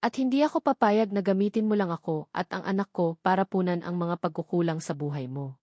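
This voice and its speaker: A woman's voice: on the low side, fairly quick, a little mellow, dry recording, studio quality, close to an everyday voice. A woman voicing a wary, firm young pregnant woman in an audiobook romance drama, with earnest resolve. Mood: pained, firm.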